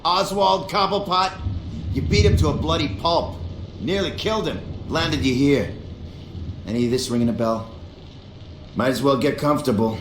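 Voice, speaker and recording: A man's voice: on the high side, normal speed, pauses often, close to an everyday voice. A man voicing a sneering asylum orderly. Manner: blunt and mocking. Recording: game audio, reverberant with background noise.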